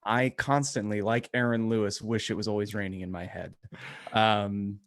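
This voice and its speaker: A man's voice: fairly low pitch, steady tone, moderate pace, fairly bright tone. A man co-hosting a podcast, chatting casually with dry, irreverent, self-mocking humor. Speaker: podcast co-host. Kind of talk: casual joking chat.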